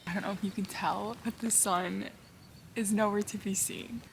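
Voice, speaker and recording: A young woman vlogging to camera in a casual, upbeat way, full of "like" fillers, her voice hoarse and nearly gone. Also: medium pitch, fairly even intonation, normal speed, loud music behind the voice. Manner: chatty, upbeat, lots of "like" fillers. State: almost lost her voice, hoarse. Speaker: travel vlogger. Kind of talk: casual vlog talk to camera.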